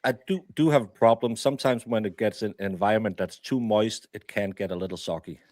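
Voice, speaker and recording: A man with a Danish accent, the guest baker, who talks in a deadpan, hesitant way. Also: on the low side, a little fast, dry recording, studio quality.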